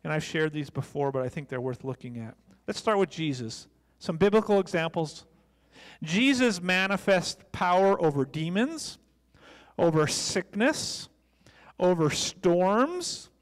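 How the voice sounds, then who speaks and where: A middle-aged American man preaching a sermon as a pastor, speaking into a handheld microphone on a church stage. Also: a little high. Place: church stage, large indoor room. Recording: long echoey hall reverb.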